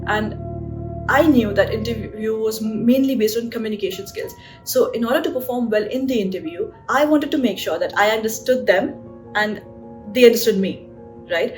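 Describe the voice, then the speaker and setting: A woman's voice: fairly high pitch, moderate pace. A young woman doctor with an Indian accent, giving chatty advice in a vlog with lots of fillers and "right?" tag questions. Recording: voice a bit distant from the mic, guitar music behind.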